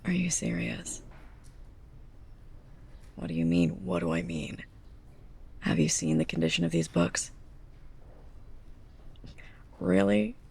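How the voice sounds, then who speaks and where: A young woman playing a sarcastic, scolding, snobbish librarian's assistant in a close-up ASMR roleplay, breathy and often near a whisper. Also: low-pitched, very animated, takes it slowly, long pauses, darkish tone, full character voice. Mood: exasperated, scolding. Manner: sarcastic, exasperated, pointed delivery.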